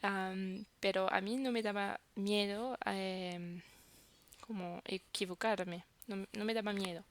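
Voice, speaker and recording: Slow, gravelly woman vlogger, French native. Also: mid-range voice, fairly even intonation. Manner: halting, searches for words. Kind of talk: casual talk to camera.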